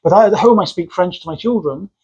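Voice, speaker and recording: A man's voice: high-pitched, quick pace, a little mellow, slightly rough, dull audio. A middle-aged man, hosting a podcast interview and teasing his guest playfully. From Wales. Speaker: podcast host. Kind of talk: casual interview chat.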